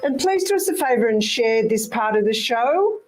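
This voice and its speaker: A woman's voice: a little high, expressive, normal speed, soft background music. An older Australian woman co-hosting a radio show in a studio, with dry, wry quips in casual banter.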